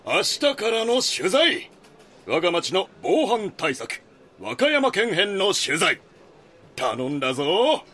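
Forceful, stern magazine boss. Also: male voice, sits high, very animated, takes it slowly, exaggerated voice. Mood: brisk, commanding. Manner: commanding, curt. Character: stern, gruff male magazine boss scolding his junior reporter. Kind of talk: Japanese voice drama acting.

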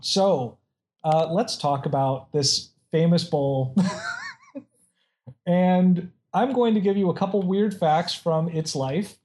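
A man's voice: a little high, takes it slowly, darkish tone. A man casually chatting and reading out odd facts, rambling with many restarts and frequent laughs.

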